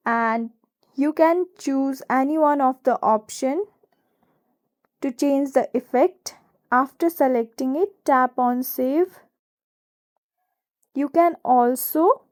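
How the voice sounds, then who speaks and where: A woman with a high voice narrates a how-to guide as its host. She speaks slowly in an instructional, step-by-step manner, reading from a script. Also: darkish tone. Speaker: tutorial host.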